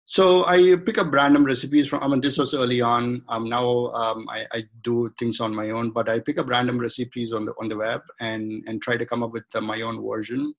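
A middle-aged man from India speaks in a gravelly voice with a touch of vocal fry. He rambles through a casual interview, restarting his sentences and filling the gaps. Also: medium pitch, level tone, fairly quick, few pauses. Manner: rambling, many restarts and fillers. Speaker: guest, home cook and shaving-product maker.

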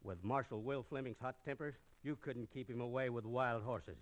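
An actor playing a bossy, scheming banker villain in an old radio western, speaking coldly and deliberately. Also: male voice, medium pitch, natural voice. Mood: cold, commanding.

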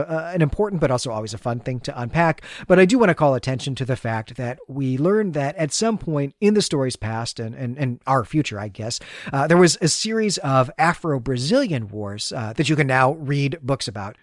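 A man with a fairly high-pitched voice talks as a podcast co-host, recorded very dry with no room sound. He walks through a story conversationally, explaining details and dropping in chatty, enthusiastic asides. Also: no room sound. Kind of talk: conversational story recap and commentary.

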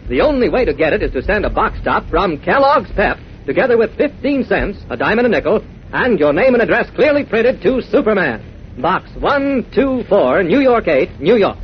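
A man's voice: high voice, big pitch swings, moderate pace. An American man delivering an enthusiastic 1940s radio advertisement as announcer. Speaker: commercial announcer. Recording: dull old radio broadcast, faint noise.

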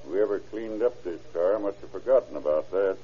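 A deep, slightly rough-edged American small-town lawman for a vintage radio drama. He is methodical and matter-of-fact, and the old recording is dull and muffled. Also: normal speed, mellow. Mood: businesslike.

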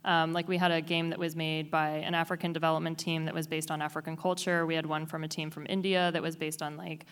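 A woman's voice: deep voice, flat delivery, quick pace, hardly any pauses, a bit bright, clean tone. A woman who organizes an indie game showcase, speaking casually on a panel and leaning on "like" and "um". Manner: casual, filler-heavy with frequent "like". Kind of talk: panel discussion.

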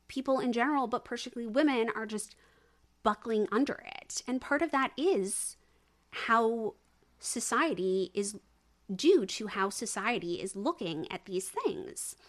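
A woman in her thirties or older speaking as a podcast guest in a conversational, opinionated way, stressing points by repeating words. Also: a little high. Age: 30s or older. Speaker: researcher and analyst. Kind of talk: conversational discussion.

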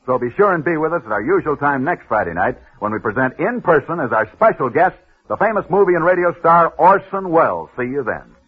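A man hosting a radio sports newsreel, telling stories with dramatic pauses and punchy emphasis. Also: fairly high pitch, big pitch swings, husky. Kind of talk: 1940s radio sports storytelling. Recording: tinny old radio broadcast.

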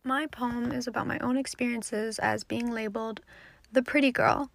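Creaky, high-voiced teenage girl poet. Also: fairly even intonation, normal speed, no echo. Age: teenager, fourteen. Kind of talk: introducing and reciting own poem.

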